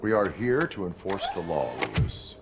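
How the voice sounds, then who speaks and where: An actor playing a stoic, law-bound cyborg police officer in a video game, speaking in clipped, formal deadpan. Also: male voice, low voice, moderate pace, slight background noise. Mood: flat, resolute. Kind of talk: video game cutscene acting.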